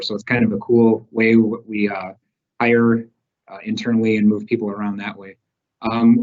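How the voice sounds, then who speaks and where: A man walks through company slides as a recruiter, in a plain, matter-of-fact way. His voice sits at an ordinary middle pitch. It comes over a muffled video call. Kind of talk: slide presentation.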